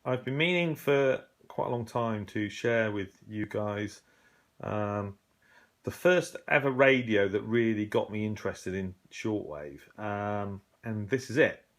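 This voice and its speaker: A middle-aged British man with a fairly low voice presents in an informal, unscripted way. He is conversational and reflective, pausing and hesitating as he searches for his words. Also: a bit bright. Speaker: hobbyist presenter. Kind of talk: casual unscripted show-and-tell.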